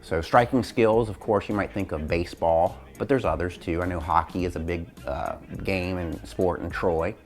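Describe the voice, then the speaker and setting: A man's voice: low-pitched, moderate pace, hardly any pauses, soft background music. A man speaking as a teacher and host, explaining an activity to students in an instructional video. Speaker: physical education teacher hosting a lesson video.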